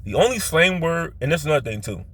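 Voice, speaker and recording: A man with a Philadelphia accent and heavily stressed O's, telling personal stories in a rambling, halting way that often circles back and repeats. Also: a little high, sing-song, fairly bright tone, a little hiss or hum. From Philadelphia, United States. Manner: stresses his O vowels; halting, repetitive, circles back to his point. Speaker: vlogger.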